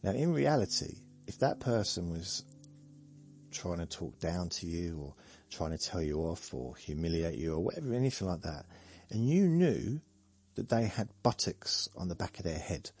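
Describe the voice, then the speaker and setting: Male host, very low voice, drowsy deadpan rambler, faint music bed. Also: breathy edge. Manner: slow, meandering, deadpan humor. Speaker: podcast host. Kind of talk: rambling sleepy monologue.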